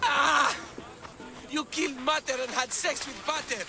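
High-pitched melodramatic Greek eccentric. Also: male voice, fairly even intonation, unhurried, background noise. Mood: anguished. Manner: theatrical, overwrought. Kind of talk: video game cutscene acting.

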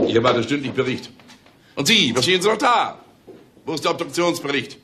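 An actor in a radio drama playing a grumpy, impatient police chief who snaps orders sarcastically. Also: male voice, on the high side, sing-song, a little fast, pushed and bright, a little hiss or hum. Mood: irritated, bossy. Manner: bossy, snapping, sarcastic. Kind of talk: German radio drama acting.

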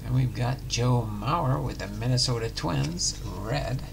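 Middle-aged guy opening packs, slow and gravelly, lots of noise behind him. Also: medium pitch. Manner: unhurried, announcing each card plainly. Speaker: card-breaking channel host. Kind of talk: casual pack-opening commentary. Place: home room with card displays.

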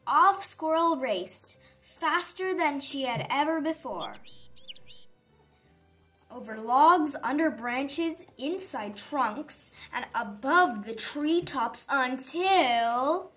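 Female storybook narrator: high, very lively intonation, unhurried, music underneath. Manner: animated, dramatic emphasis for young listeners. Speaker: storybook reader. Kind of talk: expressive children's read-aloud narration. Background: background music.

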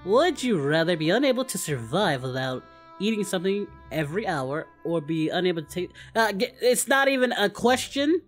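Woman voicing goofy, animated anime warrior guy. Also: fairly low pitch, moderate pace, faint music behind. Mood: cocky. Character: Goku, goofy easygoing boastful male anime warrior hero. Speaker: host of a gaming channel. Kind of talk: improvised in-character game chat, anime parody.

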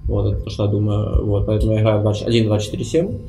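A man playing a mafia game, speaking earnestly and haltingly. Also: lowish voice, dark tone, faint music behind. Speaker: game player. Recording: echoey room.

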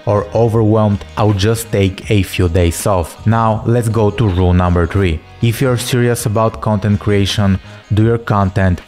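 A man in his thirties with a Bulgarian accent, giving scripted advice in a steady, earnest talking-head style from his living room, into a studio mic. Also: fairly low pitch, measured pace. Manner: steady, earnest, instructive delivery. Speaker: content creator. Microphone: large studio mic on a stand. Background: soft background music.

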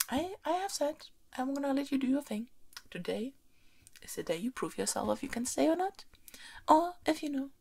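A woman performing an ASMR roleplay as a haughty, teasing, demanding young princess, soft-spoken and close, often slipping into a whisper. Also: sits high, moderate pace, heavily acted. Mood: curious, haughty. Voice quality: breathy, often dropping into whispers. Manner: playfully bossy, imperious, expressive.

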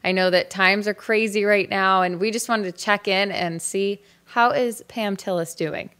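A woman's voice: mid-range voice, steady tone, moderate pace, fairly bright tone, smooth. A young woman in her twenties hosting a live-streamed music chat show, bubbly and upbeat, talking into a studio mic on a stand in a small rustic studio set. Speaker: host and singer.

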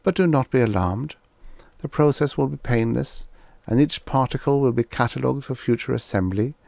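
A solemn alien intelligence acted out by a man speaks in a raised, high-pitched voice, as if through a child. It makes grave, formal announcements in measured phrases, in the manner of a science fiction audiobook. The sound is close and dry. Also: vocal fry, a little mellow, no room sound, natural voice. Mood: calm, instructive. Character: solemn alien mind speaking telepathically through a little girl.

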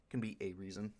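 A man's voice: sits low, warm tone. A man answering casually and a little hesitantly as a voice actor on an online panel. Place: remote voice chat. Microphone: microphone on a stand.

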